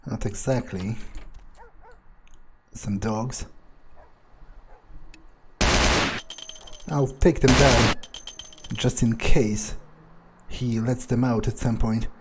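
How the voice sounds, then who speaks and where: A man playing a wary, ruthless vampire on the hunt, thinking aloud in halting, hesitant phrases over a video game. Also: mid-range voice, steady tone, background noise, lightly acted. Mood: wary, searching. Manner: musing, hesitant, halting self-corrections. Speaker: let's player role-playing a game character. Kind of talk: in-character improvised gameplay narration, thinking aloud.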